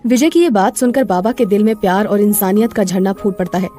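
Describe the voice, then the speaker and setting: A woman's voice: medium pitch, quick pace, warm tone, soft background music. A woman narrating a scripted moral story as a storyteller.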